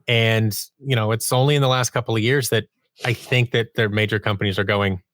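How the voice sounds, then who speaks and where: A man's voice: on the low side, steady tone, brisk, a bit bright, no room sound. A man giving a conversational interview as a podcast guest, telling stories about his creative work and acting out bits of quoted dialogue. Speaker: podcast guest, audio drama producer and sound designer.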